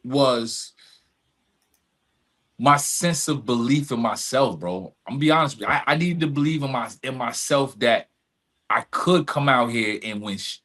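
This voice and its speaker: A man's voice: mid-range voice, expressive, moderate pace, bright, forceful tone. A man speaking as a podcast guest, telling personal stories candidly and emphatically, with street slang and repeated phrases. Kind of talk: candid long-form conversation, personal storytelling.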